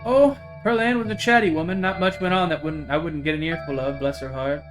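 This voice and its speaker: A man's voice: a little high, fairly even intonation, a little fast, natural voice. A man voicing a kindly older small-town woman, gracious, chatty and folksy, in a visual novel. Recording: game music behind the voice.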